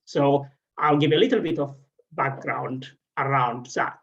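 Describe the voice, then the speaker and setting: A middle-aged man with an Ethiopian accent, a guest speaker at an online event, telling his personal story haltingly with frequent pauses. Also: mid-range voice, steady tone, fairly bright tone, dull audio. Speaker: regional director, guest speaker.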